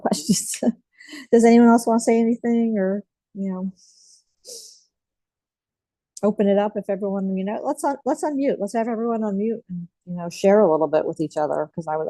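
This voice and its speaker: A woman's voice: mid-range voice, fairly even intonation, fast, no room sound. A woman hosting an online group share over a video call, chatting casually with many fillers. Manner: warm, chatty, frequent fillers. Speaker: group host.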